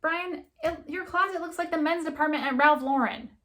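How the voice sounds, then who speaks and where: A woman's voice: high voice, moderate pace, fairly bright tone, pitched above the performer's own voice. Woman acting an indignant, incredulous jilted young woman. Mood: exasperated ranting. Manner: exasperated, emphatic, stammering disbelief.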